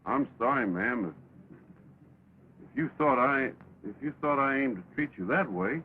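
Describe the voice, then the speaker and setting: An actor playing a gentle, teasing young cowhand with a folksy American drawl in an early talkie film. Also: male voice, mid-range voice, expressive, moderate pace, lightly acted. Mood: halting, apologetic. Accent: American, cowboy drawl. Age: young adult. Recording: crackly, tinny 1920s film soundtrack.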